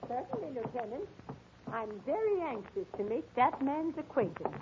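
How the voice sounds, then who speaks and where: Prim elderly woman, high swoopy voice, old radio drama, really noisy recording. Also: big pitch swings, normal speed, lo-fi sound. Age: 60s. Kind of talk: 1940s radio drama acting.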